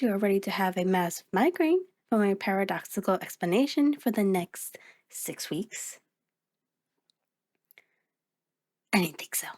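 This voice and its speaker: A woman's voice: medium pitch, a little mellow. A woman voicing a sentient mirror reflection in an ASMR audio roleplay, speaking directly to the listener in a conversational, hesitant, explanatory way. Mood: wry, explanatory. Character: sentient female mirror reflection, sheepish, chatty, patient explainer.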